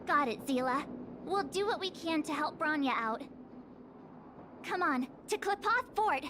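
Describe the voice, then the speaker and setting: A woman's voice: sits high, expressive, a little slow, fairly bright tone, slight background noise. A young woman voicing an upbeat, cocky and loyal adventurer in a video game. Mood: bright, friendly.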